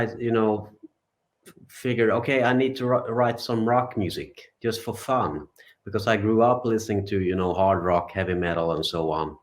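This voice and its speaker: A man in his forties from Finland, a guest musician giving relaxed, rambling interview answers. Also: fairly low pitch. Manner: relaxed, rambling, many fillers and restarts.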